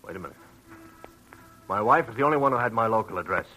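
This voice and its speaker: An actor playing an anxious, well-spoken husband and shop owner, earnest and dramatic in 1940s radio style. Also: male voice, fairly low pitch, expressive, quick pace, sharp tone, faint music behind. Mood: shaken. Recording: noisy old radio broadcast recording.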